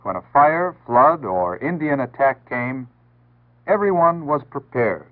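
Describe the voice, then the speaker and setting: American male announcer, 1960s civil defense film style. Measured, instructive, animated intonation, narrow phone-like vintage sound. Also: mid-range voice. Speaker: public service announcer. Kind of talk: 1960s civil defense PSA scripted narration. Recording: tinny band-limited 1960s broadcast audio.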